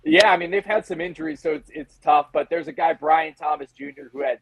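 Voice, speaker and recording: Male sports reporter, interview guest. Gravelly and rough-edged, conversational, dry recording. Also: on the high side, sing-song, brisk, fairly bright tone, no room sound. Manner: conversational, talks in acted-out questions and asides. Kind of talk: casual sports analysis in an interview.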